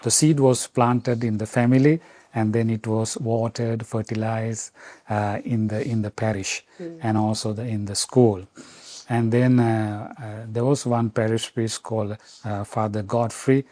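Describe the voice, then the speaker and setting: Halting low-voiced man from Sri Lanka. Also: fairly even intonation, dark tone, dry recording. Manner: halting, many fillers and restarts. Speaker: Vatican official interviewed. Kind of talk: reflective interview answers.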